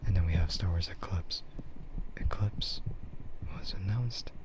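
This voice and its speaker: Low, slow, soft-whispering male ASMR streamer. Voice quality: soft, breathy, often whispered. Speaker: livestreamer. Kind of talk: ASMR, casual rambling stream chat. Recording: muffled with clear background noise.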